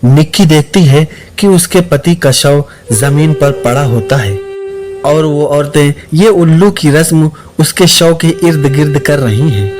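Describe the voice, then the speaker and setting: A man's voice: a little high, a little slow. A man narrating a scripted suspense story as the storyteller. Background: background music.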